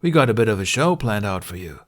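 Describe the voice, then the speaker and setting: A man's voice: on the low side, big pitch swings, fast. A male narrator acts out a burly young Viking man in an audiobook tale. He is boisterous and taunting, mocking his prisoner with relish. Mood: mocking amusement.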